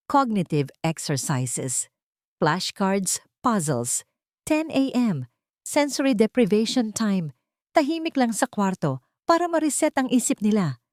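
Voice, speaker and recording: A woman's voice: medium pitch, darkish tone, no room sound, studio quality, pitched above the performer's own voice. A woman with a Filipino accent voicing a haughty, strict child-therapy expert in an audiobook, commanding, clipped and condescending. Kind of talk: audiobook character dialogue mixing Tagalog and English.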